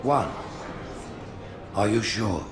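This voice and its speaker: A man playing a commanding alien religious leader in a game cutscene, stately, ceremonious and deliberate in his speech. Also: lowish voice, expressive. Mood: probing. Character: solemn, commanding alien high prophet passing judgment. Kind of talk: dramatic game cutscene acting. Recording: music behind the voice. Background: clear music.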